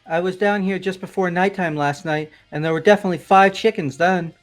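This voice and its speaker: A man voicing a spacey, gravely philosophical young man in an amateur visual novel game dub. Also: high-pitched, a little fast, soft background music, natural voice. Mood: gravely worried.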